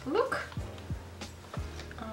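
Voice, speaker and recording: A young woman whose first language is Dutch, chatting excitedly in English as she opens mail at her desk at home, often breaking off and restarting. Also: extremely slow, over music. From Netherlands. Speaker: stationery vlogger. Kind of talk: casual unboxing chat.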